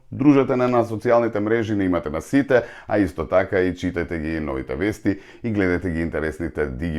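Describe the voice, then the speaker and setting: A man hosting a TV tech show, wrapping up the episode with a brisk, promotional sign-off. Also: sits low, darkish tone. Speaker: TV show host. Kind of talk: show segment wrap-up.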